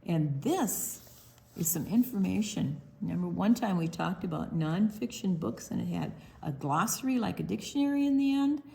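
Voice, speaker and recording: A woman reading a picture book aloud to young children, animated, pausing to explain things simply and ask questions. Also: on the low side, creaky. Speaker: children's story time reader.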